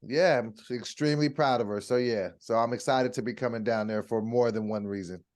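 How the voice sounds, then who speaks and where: A man in his forties, a guest comedian on a podcast, talking in a relaxed way and laughing easily. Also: medium pitch, brisk. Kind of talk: casual podcast chat.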